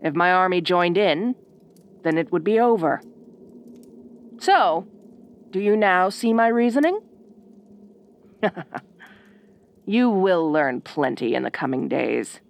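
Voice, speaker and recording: Ice queen for audio roleplay, female performer: bossy, proud, secretly fond; unhurried, widely swooping delivery, imperious and condescending. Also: fairly low pitch, lively intonation, creaky, full character voice. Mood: cold, instructive. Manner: imperious, formal, drawn-out condescending phrasing. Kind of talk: audio roleplay acting addressed to the listener.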